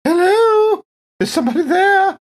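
A sweet, timid female android played by a woman in a tabletop sci-fi game. The voice sits high and moves around a lot, and the manner is flustered, polite and hesitant. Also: lively intonation, measured pace. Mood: timid, wary.

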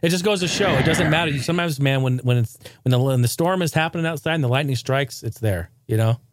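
A man hosting a casual chat, excitable and quick to laugh, often calling people dude. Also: on the high side, no echo, studio quality.

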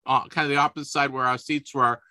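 A man's voice: medium pitch, steady tone, fast talker, bright, forceful tone, dry recording. A man in his fifties hosting a casual sports podcast, chatty, with frequent restarts and repeated words. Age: 50s. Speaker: podcast host.

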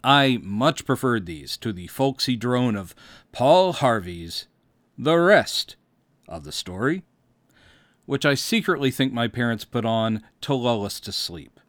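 American male podcast host, fifties, wry storyteller with very lively intonation and a dry, close studio sound. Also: mid-range voice, measured pace, dry recording. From Mississippi, United States. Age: 50s. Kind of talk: scripted autobiographical narration.

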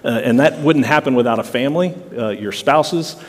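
A man's voice: medium pitch, normal speed. Man hosting a church interview. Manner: affirming, frequent amens. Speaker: host pastor. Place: large church sanctuary. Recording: church hall reverb, congregation behind.

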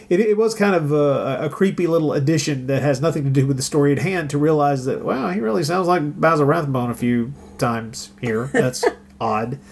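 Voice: mid-range voice, darkish tone. A podcast host chatting casually in a musing, rambling and wry way.

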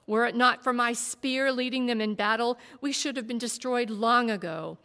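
A woman voicing a proud, anxious warrior king who speaks loudly and insistently, heard in a reverberant hall. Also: sits high, animated, unhurried, gravelly, pitched above the performer's own voice, full character voice. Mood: defiant. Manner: loud, insistent, pleading. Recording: long reverb of a big hall.

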